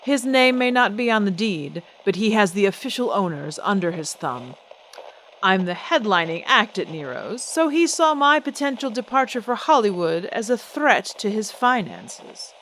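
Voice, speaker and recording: Woman acting a poised, expressive vintage starlet. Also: medium pitch, normal speed, a little hiss or hum, rough recording. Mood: firm, defiant. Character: poised, defiant 1940s nightclub singer celebrity, a woman. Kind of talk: old-time radio drama acting.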